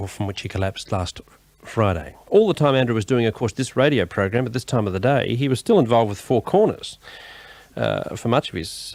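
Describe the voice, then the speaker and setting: A man's voice: lowish voice, vocal fry, darkish tone, no echo. An Australian man hosting live radio in a conversational way, often restarting his phrases. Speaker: radio host.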